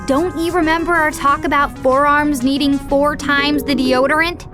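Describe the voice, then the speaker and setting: A woman's voice: high-pitched, little pitch movement, moderate pace, music in the background, close to an everyday voice. A woman voicing a sassy, brainy young girl in a video game cartoon, snarky and teasing. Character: sassy, brainy ten-year-old girl, teasing know-it-all.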